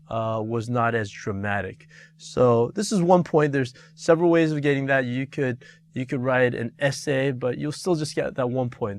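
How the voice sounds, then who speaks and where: A middle-aged man teaching an exam review lesson, talking with many pauses, fillers and restarts. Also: mid-range voice. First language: Korean.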